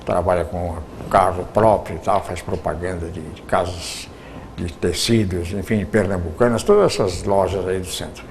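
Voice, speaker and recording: A man's voice: sits low, fairly even intonation, normal speed, gravelly, darkish tone, slight background noise. An older man, recalling his years in radio drama in an interview, often stumbling and repeating words. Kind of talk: reminiscing interview answers.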